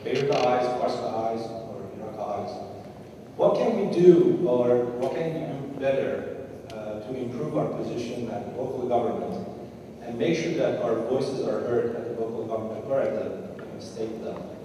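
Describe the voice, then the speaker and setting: A man in the audience asking a panel a question in a jokey, halting way. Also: medium pitch, dark tone. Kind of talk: question from the floor. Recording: echoey hall from far off, noisy.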